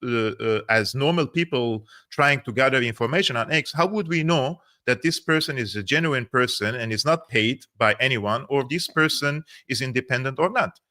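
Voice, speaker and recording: A man's voice: mid-range voice, normal speed, few pauses, sharp tone. A man from Syria hosting a political livestream, talking earnestly and often repeating words as he searches for phrasing. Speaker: political commentary host.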